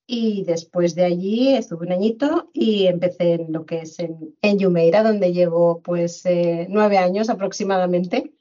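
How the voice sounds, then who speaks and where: A woman's voice: fairly low pitch, warm tone, dull audio. Middle-aged woman from Madrid. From Spain, Madrid. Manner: measured, with frequent fillers and repetitions. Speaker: guest, HR director. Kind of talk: conversational interview.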